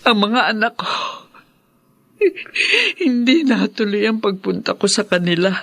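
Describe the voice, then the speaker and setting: A woman's voice: medium pitch, measured pace, fairly bright tone, no echo. An older actress with a Filipino accent playing a remorseful, ailing mother in a radio drama, heavy and tearful in delivery. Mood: pleading, tearful. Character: sorrowful, remorseful ailing older mother longing for her children.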